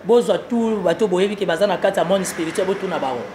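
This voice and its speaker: A man with a Congolese accent speaks in a high-pitched voice over faint ambient noise. He comments on politics as an interview guest, driving points home emphatically and repeating his phrases. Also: fast talker, darkish tone. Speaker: political analyst being interviewed.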